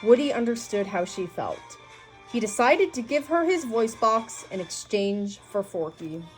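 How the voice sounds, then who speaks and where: A woman's voice: fairly high pitch, animated, unhurried, poor audio. A woman reading a children's story aloud in a scripted narration style. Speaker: storybook reader. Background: music.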